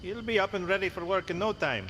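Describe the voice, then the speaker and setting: A man's voice: high-pitched, quick pace, a bit bright. A man playing a plain-spoken, welcoming rural coffin maker in an audio game. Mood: matter-of-fact. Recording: noisy ambience behind the voice.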